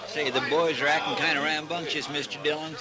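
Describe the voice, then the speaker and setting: Western radio sidekick: male actor as eager, deferential country helper, slightly high drawl, crowd murmuring behind. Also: level tone, fairly quick, lightly acted. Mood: earnest, chatty. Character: earnest, folksy frontier marshal's helper, easily astonished. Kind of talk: old-time radio western acting.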